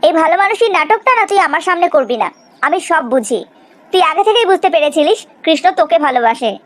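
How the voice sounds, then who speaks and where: Woman acting a shrill-high jealous young cartoon woman. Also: expressive, quick pace. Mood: accusing. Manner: confrontational, dramatic. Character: jealous, accusing young woman, a poor friend. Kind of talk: cartoon melodrama dialogue.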